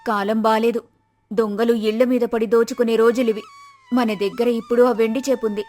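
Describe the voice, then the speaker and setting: A woman's voice: fairly high pitch, level tone, normal speed, a little mellow, faint music behind, lightly acted. A woman playing a fearful, suspicious village fisherman's wife with a fretful, scolding way of talking in a moral story. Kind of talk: acted moral story dialogue.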